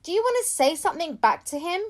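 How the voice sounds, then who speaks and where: A woman voicing a pushy newspaper reporter in a storybook, calling out loudly and insistently at schoolchildren. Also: extremely high pitch, animated, quick pace, voice pushed up. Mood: pushy, shouting.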